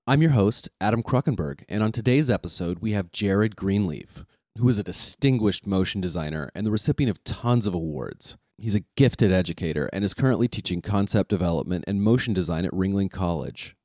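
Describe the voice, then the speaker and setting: A man's voice: low-pitched, lively intonation, a little fast, dark tone, no echo, studio quality. A man hosting a podcast, reading a scripted intro and asking the guest questions. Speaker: podcast host.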